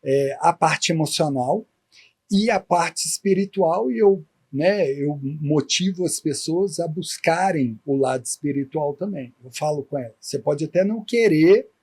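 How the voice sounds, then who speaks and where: A man hosting a podcast interview who also works as a therapist, asking reflective, measured questions. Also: on the high side, a little mellow, breathy edge.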